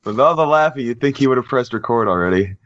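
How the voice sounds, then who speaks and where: Wisecracking podcast co-host, lively pitch. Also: quick pace, no echo, highs cut off. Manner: deadpan wisecracks. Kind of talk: casual chat.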